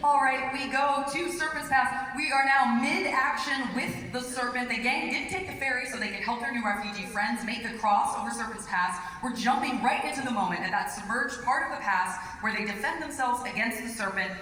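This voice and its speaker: Echoey higher-voiced woman narrating on stage. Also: brisk, few pauses. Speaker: panel host and narrator. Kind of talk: scripted narration read live on stage. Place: large convention hall stage. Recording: distant, echoey hall, loud background noise.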